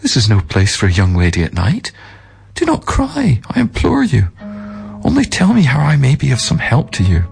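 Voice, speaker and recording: A man voicing a kind old merchant who pleads gently and then gasps in terror. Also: mid-range voice, wildly swooping pitch, measured pace, vocal fry, long pauses, soft background music, pitched above the performer's own voice, heavily acted. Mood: pleading. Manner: pleading, breathless and panicky.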